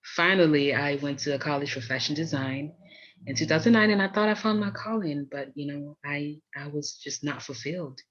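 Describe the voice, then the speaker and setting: A woman's voice: low voice, normal speed, dull audio. An American woman from Miami hosting a podcast, telling personal stories in an introduction. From Miami, USA. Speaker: podcast host.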